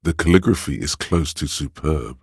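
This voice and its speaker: Casting: a detective's sardonic inner voice for a role-playing game. Rock-bottom register, close dry sound, erudite, gravelly delivery. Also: male voice, rumbling low, normal speed, dry recording, exaggerated voice. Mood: measured, wry. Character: wry, erudite inner voice narrating a detective's thoughts. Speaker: game narrator and inner-voice actor. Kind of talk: scripted second-person narration for a role-playing video game.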